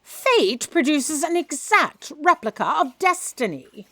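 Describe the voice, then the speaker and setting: Imperious, animated boss editor. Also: female voice, high-pitched, takes it slowly, no echo, exaggerated voice. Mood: indignant. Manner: pompous, commanding. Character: haughty, imperious, indignant chief editor. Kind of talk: comic audio drama acting.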